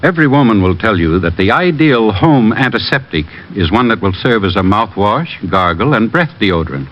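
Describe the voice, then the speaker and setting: A man's voice: lowish voice, very animated, normal speed, fairly bright tone. An American man announcing a scripted commercial in old-time radio style. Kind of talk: scripted 1940s-50s radio advertisement. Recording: dull old radio broadcast.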